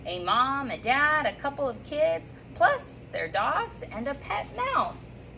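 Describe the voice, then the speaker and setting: A woman's voice: high-pitched, slow, gravelly, a little hiss or hum, poor audio. A woman in her thirties, a third grade teacher, recording a cheerful sing-song welcome and picture book read-aloud for her class from a classroom reading corner, filmed from a few feet away. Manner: upbeat and sing-song, reading with lively rises and slips. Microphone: phone or camera on a stand a few feet away.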